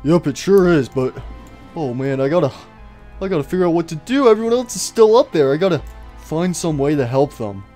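Performer as male robot bear, anxious, stammering, kindly, animated pitch, cartoon skit, music behind. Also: fairly quick, natural voice. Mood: relieved, reassuring. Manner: stammering, fretful, pleading.

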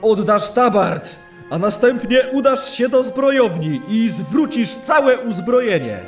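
A man's voice: high voice, expressive, soft background music, heavily acted. A man playing a stern, wrathful elven lord of a paladin order in a fantasy audio drama, scolding imperiously. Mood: angry, stern. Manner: imperious, scolding, deliberate delivery.

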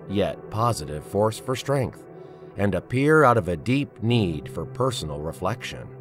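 A man giving dramatic scripted narration as an introducer, measured, ominous and theatrical. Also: lowish voice. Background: prominent music.

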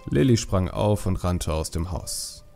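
A man with a low, gravelly voice narrates a fantasy story. His delivery is mellow scripted audiobook reading, set over faint music. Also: lively intonation, unhurried.

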